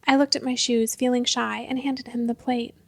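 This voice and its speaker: A woman with a fairly high voice reads scripted literary fiction as a narrator. Her delivery is measured and expressive, in the manner of a storyteller. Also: gravelly.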